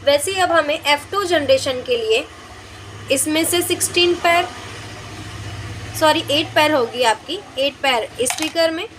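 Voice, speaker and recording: A woman with a high-pitched voice teaches a practical science lesson step by step. She speaks instructionally, repeating points for her students. The recording carries some faint background noise. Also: a little slow. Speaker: biology teacher.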